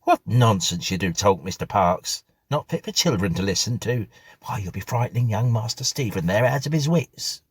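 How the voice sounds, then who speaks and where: Man voicing a chatty, husky-voiced old housekeeper woman. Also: fairly low pitch, lively intonation, moderate pace, no echo, voice pushed up. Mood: scolding. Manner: colloquial rustic servant speech, fussing and scolding. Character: kindly, superstitious, chatty older housekeeper woman.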